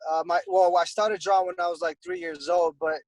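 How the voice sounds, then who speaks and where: A young man from Costa Rica chats easily as an interview guest, rambling with many fillers. His voice sits a little high for a man. The sound is dry and close. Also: fairly even intonation, fast talker, gravelly, no room sound, rough recording. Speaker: guest, airbrush artist. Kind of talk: casual interview chat.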